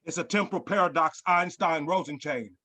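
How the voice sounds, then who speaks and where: An actor playing a grandiose, dramatic scientist in a sci-fi screenplay reading. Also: male voice, on the high side, fairly quick, pushed and bright. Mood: urgent, resolute. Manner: grandiose, dramatic, jargon-heavy. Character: Professor Bold, grandiose scheming sci-fi scientist.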